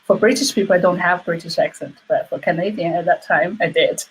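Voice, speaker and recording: Low-voiced Chinese-accented woman on a video call. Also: a little fast, slight background noise, rough recording. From China, Sichuan. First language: Mandarin. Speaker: hypnotherapist guest. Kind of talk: interview.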